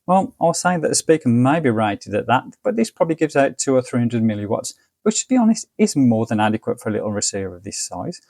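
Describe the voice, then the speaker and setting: A man's voice: medium pitch, very animated, fast, hardly any pauses, darkish tone, no room sound, clean studio sound. A man presenting a casual product review, explaining details in a methodical, matter-of-fact way. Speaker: product reviewer and channel host.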